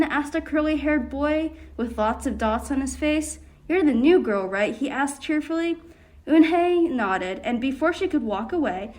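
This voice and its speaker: A woman reads a children's picture book aloud with an expressive storytelling manner. Her voice sits a little high and clear. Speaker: volunteer reader.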